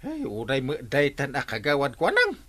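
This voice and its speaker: A man's voice: medium pitch, wildly swooping pitch, normal speed, pushed and bright, no room sound, exaggerated voice. A man acting an exasperated, scolding village captain in an Ilocano radio comedy drama, sputtering and stammering with an Ilocano accent. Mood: puzzled, concerned.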